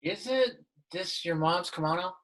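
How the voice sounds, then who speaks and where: A man's voice: high-pitched, animated, unhurried, fairly bright tone, low-quality recording. A mild voice playing a puzzled suburban dad. He is well-meaning and slightly lost, in a sitcom script read on a video call. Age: middle-aged.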